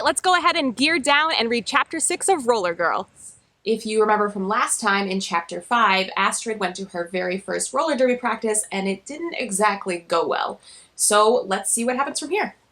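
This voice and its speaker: A woman giving upbeat, encouraging instruction as a roller derby coach and read-aloud host, projecting clearly. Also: on the high side, sharp tone, no echo.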